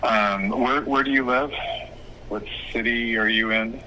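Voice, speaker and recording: A man speaking as an attorney, giving advice on a phone call in a measured, hesitant, explanatory way. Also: mid-range voice, steady tone, pushed and bright. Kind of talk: phone consultation, casual advice. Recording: phone call with faint noise.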